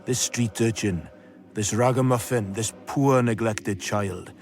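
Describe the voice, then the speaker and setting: An actor playing an eloquent storyteller, narrating a radio drama in a measured, florid way. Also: male voice, fairly low pitch, darkish tone, lightly acted.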